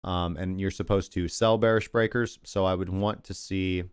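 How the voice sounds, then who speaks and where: A man's voice: deep voice, fairly even intonation, normal speed, smooth, dry recording, clean studio sound. A man hosting a crypto livestream, chatty and rambling as he talks through charts and thanks viewers, losing his voice. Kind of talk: casual market analysis and sign-off.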